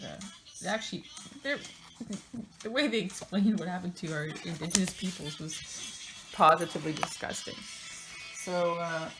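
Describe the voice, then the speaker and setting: A woman's voice: lowish voice, rough recording. A woman reading a book aloud in a theatrical, mocking way, breaking into casual, sardonic asides of her own.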